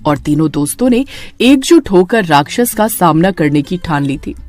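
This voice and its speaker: A woman's voice: fairly low pitch, lively intonation, normal speed, darkish tone, faint music behind. A woman narrator reading a scripted horror story in a dramatic storytelling manner.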